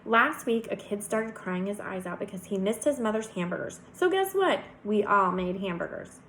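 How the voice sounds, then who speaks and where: A woman's voice: mid-range voice, lively intonation, moderate pace, fairly bright tone, slight background noise, low-quality recording. A woman voicing a pushy, lovestruck schoolgirl with a syrupy, emphatic manner for a children's storybook. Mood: confident.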